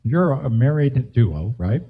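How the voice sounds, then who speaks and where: A man hosting a live music show, chatting casually and haltingly as he interviews guests, with chuckles between phrases. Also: medium pitch, big pitch swings, slightly rough, phone-quality sound.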